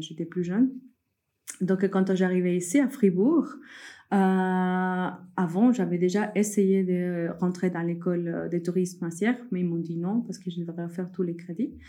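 A young woman from Peru answers interview questions in a relaxed, conversational way. Her voice is mellow and fairly low, and she pauses often with fillers and restarts as she works through her thoughts. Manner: hesitant, many fillers and restarts, laughs often. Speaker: guest, entrepreneur.

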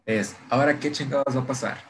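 A man's voice: medium pitch, fast talker. Irreverent Mexican man, noisy recording. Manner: irreverent, heavy slang and swearing. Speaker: podcast co-host. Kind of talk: casual chat recapping a TV series.

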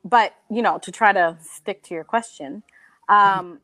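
A woman's voice: medium pitch, pushed and bright, no echo, rough recording. A woman in her forties from El Salvador, answering a question candidly and measuredly on a panel discussion. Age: 40s. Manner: candid, measured, with many fillers. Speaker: panel guest.

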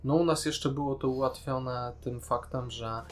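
A man with a mid-pitched voice talks casually as a podcast co-host, in an opinionated, critical way. Faint guitar music plays behind him. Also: fairly even intonation, a little slow.

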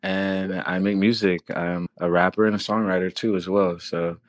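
A young man, originally from Florida, tells his story as a podcast guest. His voice sits low and he talks in a relaxed, slangy way. The recording is close and dry. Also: normal speed, no room sound. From Florida, born in Haiti. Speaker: guest rapper and songwriter. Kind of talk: casual podcast interview.

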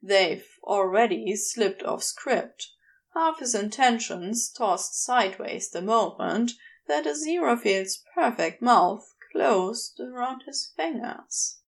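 Slow, creaky-voiced woman reading fiction. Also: fairly high pitch, animated. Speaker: podfic reader. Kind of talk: scripted fiction narration.

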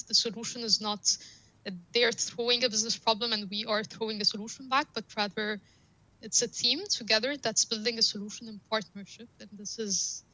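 A woman's voice: on the high side, bright, forceful tone, no room sound, low-quality recording. A woman whose first language is Arabic, explaining her work earnestly as an interview guest, often through analogies. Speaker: guest, data science professional.

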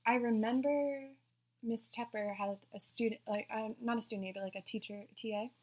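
Young woman, soft voice, podcast co-host, casual chat, giggly. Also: a little high, fairly even intonation, fairly quick. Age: young adult. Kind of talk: casual chat among friends.

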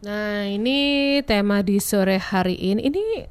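A woman hosting a radio talk show, her voice slightly nasal from a cold, upbeat and quick with short replies. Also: medium pitch, clear, resonant tone, no room sound. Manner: upbeat greeting, many short backchannels. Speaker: radio host. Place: radio studio. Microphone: close studio mic.

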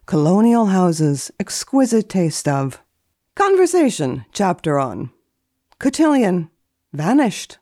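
A woman speaking as a guest columnist in a deadpan, theatrical manner, as if giving a dramatic reading. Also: medium pitch, takes it slowly, no room sound, studio quality. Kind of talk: dramatic reading of a book index and deadpan commentary.

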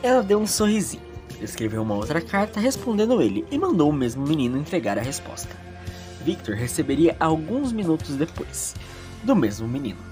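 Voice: medium pitch, huge pitch swings, a little fast, over music. A narrator telling a scripted story in a theatrical, self-mocking way with playful asides.

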